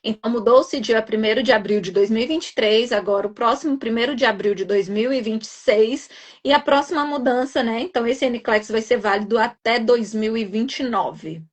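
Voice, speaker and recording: Mineira nurse explaining exam changes. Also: female voice, a little high, normal speed. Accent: Minas Gerais Portuguese. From Minas Gerais, Brazil. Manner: explanatory, reassuring, tag questions. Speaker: guest nurse educator. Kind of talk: live stream conversation.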